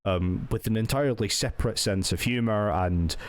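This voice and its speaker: Scottish man, very low voice, hesitant, heartfelt, young adult, casual vlog. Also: normal speed, slight background noise. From Scotland. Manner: hesitant and heartfelt, many restarts and thanks. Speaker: channel owner and roleplay group member. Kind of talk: personal talking-to-camera update.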